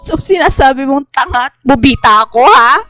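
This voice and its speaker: Radio drama part: naive, lovestruck twenty-something woman, high and dramatic, close dry sound with music. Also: expressive, normal speed, pushed and bright, dry recording. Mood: pleading. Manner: dramatic, pleading.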